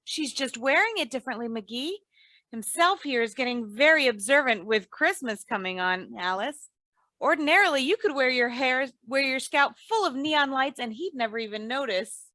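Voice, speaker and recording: An actress playing a sharp-tongued, scolding wife in old-time radio comedy style, chiding and wry. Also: female voice, high voice, expressive, moderate pace, no room sound. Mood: wry teasing.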